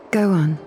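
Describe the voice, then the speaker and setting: An actress playing a composed noblewoman leader in a game, measured and commanding.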